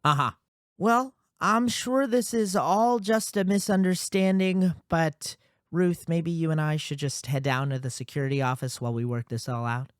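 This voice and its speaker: A woman's voice: low voice, normal speed, no room sound, studio quality, close to an everyday voice. An actress playing an exasperated, no-nonsense female security officer in a sci-fi radio drama. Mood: wary, placating.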